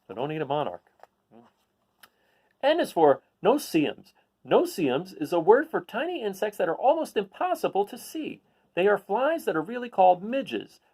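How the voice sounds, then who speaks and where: Male read-aloud host for a children's book, slightly high voice, very lively intonation, explains things with casual asides. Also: normal speed, slightly rough. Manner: explanatory, addressing young listeners.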